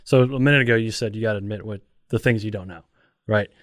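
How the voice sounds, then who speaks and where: A man's voice: on the low side, fast, no room sound, clean studio sound. A man hosting a casual podcast conversation, asking questions in a loose, conversational way. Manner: conversational, questioning, with many "like" fillers.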